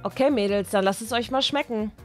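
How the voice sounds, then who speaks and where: A woman's voice: a little high, brisk, faint music behind, close to an everyday voice. A woman voicing an easygoing, gallant teenage boy on a date in a children's toy-figure story.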